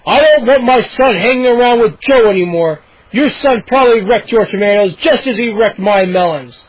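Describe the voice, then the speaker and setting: A man voicing a furious, menacing grown neighbor who shouts and sneers. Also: very high-pitched, expressive, moderate pace, dry recording, pitched above the performer's own voice. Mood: growling. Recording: dull lo-fi recording.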